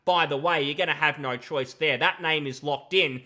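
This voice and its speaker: A young man with a fairly high, bright voice delivers gaming commentary into a close desk mic. He is critical and emphatic, openly disapproving as he argues his opinion. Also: normal speed. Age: young adult. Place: small room at home. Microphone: close desk microphone, headset on.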